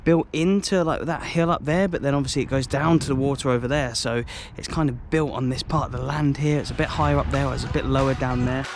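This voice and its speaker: A man's voice: medium pitch, steady tone, fast talker, hardly any pauses. An English man filming a football travel vlog, walking round a stadium outdoors and exclaiming about it to a handheld camera. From England. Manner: enthusiastic, exclaims often.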